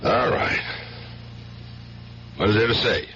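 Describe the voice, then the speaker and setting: A man's voice: lowish voice, takes it slowly, sharp tone. An actor in a 1970s radio drama playing a grim, blunt policeman who is a fiercely protective brother. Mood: defensive, bitter. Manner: emphatic, intense, blunt. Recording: dull vintage radio recording, faint hiss.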